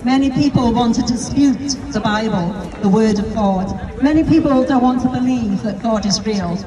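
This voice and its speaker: A middle-aged British woman preaching in the open air on a shopping street, earnest and full of rhetorical questions, into a handheld microphone through a speaker. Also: on the high side. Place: busy pedestrian shopping street outdoors. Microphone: handheld mic through a street speaker. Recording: distant, outdoor street with crowd noise. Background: crowd of shoppers.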